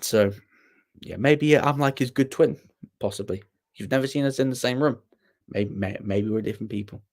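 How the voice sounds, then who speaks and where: A man's voice: mid-range voice, normal speed, a little mellow. A young man hosting a casual livestream, rambling and self-deprecating as he answers viewers with plenty of ums and restarts. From Wales. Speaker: livestream host.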